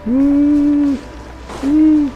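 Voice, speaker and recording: A woman's voice: high-pitched, level tone, drawn-out words, dull audio. A woman giving casual, hesitant, muttered commentary as she plays a game. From Philippines. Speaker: gameplay commentator. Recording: game music behind the voice. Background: game music.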